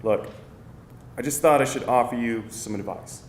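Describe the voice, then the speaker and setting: Mocking, offhand male tormentor acted by a man at a table read, mid pitch, echoey room. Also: normal speed, natural voice. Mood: offhand, taunting. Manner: offhand, teasing. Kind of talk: live screenplay table read. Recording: echoey live room, rumbling noise.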